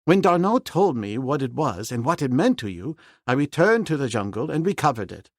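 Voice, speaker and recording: A man doing a calm, firm jungle-raised hero. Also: mid-range voice, moderate pace, dry recording, studio quality, voice pushed up. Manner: plain, steady, decisive. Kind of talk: audiobook character voice.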